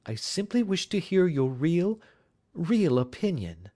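A man's voice: fairly high pitch, big pitch swings, a little slow, warm tone, voice pushed up. A male audiobook reader voicing an anxious, earnest Victorian wife who asks pleading, insistent questions. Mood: urgent.

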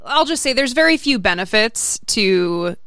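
Female podcast host, clear smooth tone, bright, wry and easygoing, dry close mic. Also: fairly high pitch, unhurried, no room sound. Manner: calm, wry, laughs easily. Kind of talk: casual podcast chat.

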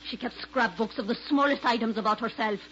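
An actress playing an eager, emphatic troupe member in an old radio drama. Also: female voice, on the high side, fairly quick, a bit bright, a little hiss or hum, close to an everyday voice. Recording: dull lo-fi old radio recording.

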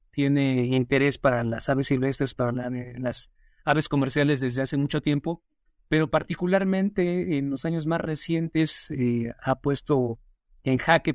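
A man hosts an interview and asks his questions in a formal, courteous way. His voice sits at a middle pitch. The sound is close and dry. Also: no echo. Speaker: interview host. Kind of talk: hosted interview.

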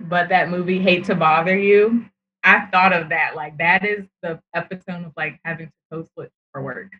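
An eager, chatty woman with a slightly low voice. The audio is muffled, and a faint hiss sits behind her. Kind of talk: casual chat.

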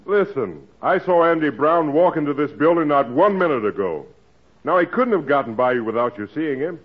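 An actor playing a suspicious, no-nonsense process server who questions bluntly in a 1940s radio comedy. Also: male voice, fairly high pitch, sing-song, moderate pace. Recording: dull old radio recording.

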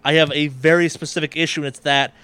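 A man's voice: a little high, fairly even intonation, fast, sharp tone, smooth, dry recording. A man hosting a gaming podcast in casual chat, with a wry, casually profane way of talking. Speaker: podcast host.

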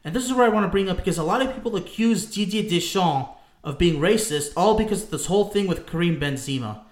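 A man's voice: sits high, expressive, quick pace, reverberant. A man from France co-hosting a sports talk show, emphatic and repetitive, calling listeners "folks". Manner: emphatic, repeats himself, addresses listeners as folks. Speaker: podcast co-host.

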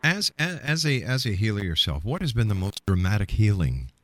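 A man's voice: on the low side, very animated, fairly quick, a bit bright, no echo. A man hosting a radio talk show and putting questions to a guest. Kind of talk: radio interview.

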